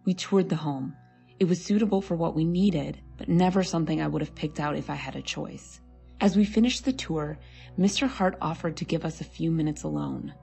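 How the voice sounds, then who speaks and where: A woman narrates a scary story in the first person with a low, creaky voice. Her telling is measured and conversational, mellow in tone, with faint music underneath. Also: highs cut off.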